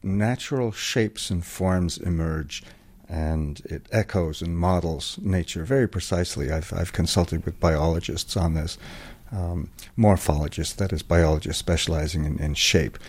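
A middle-aged man from England, a metalsmith, answers a radio host's questions in a low, deep voice. He speaks thoughtfully and hesitantly, pausing often on ums and uhs as he explains his craft. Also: husky. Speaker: guest metalsmith.

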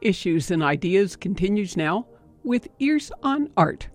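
A woman hosting a public radio arts program from the studio, speaking in a measured, articulate way as she introduces and interviews a guest. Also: mid-range voice, big pitch swings, over music. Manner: measured, articulate, spells things out. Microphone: studio microphone.

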